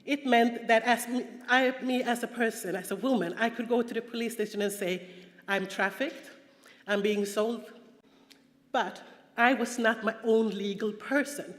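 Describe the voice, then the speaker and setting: A woman from Sweden with a Swedish accent, giving an earnest, halting personal talk at a conference. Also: a little high, fairly even intonation. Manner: earnest, halting, frequent restarts and fillers. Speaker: conference speaker. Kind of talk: personal testimony talk with slides. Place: large conference hall. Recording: echoey hall, long reverb.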